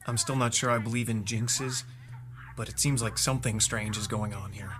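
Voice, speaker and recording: A man's voice: lowish voice, fairly even intonation, moderate pace, crowd noise, lightly acted. A man playing a hesitant, thoughtful young theatre crew member in an audio drama. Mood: uneasy.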